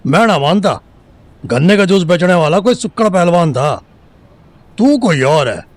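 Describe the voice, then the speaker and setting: A man acting a crude, threatening police sub-inspector in an audio drama, with a gruff manner and a Haryanvi accent. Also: high voice, lively intonation, normal speed, no room sound. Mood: suspicious, threatening. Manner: gruff, menacing interrogator, swearing freely. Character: crude bullying male Indian police sub-inspector, threatening and foul-mouthed.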